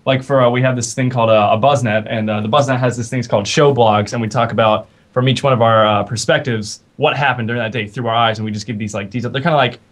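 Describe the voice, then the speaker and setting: A man's voice: mid-range voice, steady tone, fast, few pauses. A man in a band explaining things casually in an interview. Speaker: band member being interviewed.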